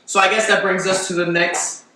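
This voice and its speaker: A man's voice: high-pitched, little pitch movement, moderate pace, pushed and bright. A man in his twenties from Washington DC, co-hosting a casual podcast with playful, campy banter. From Washington DC, United States. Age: 20s. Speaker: podcast co-host. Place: echoey room. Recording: very echoey room, far mic, faint noise.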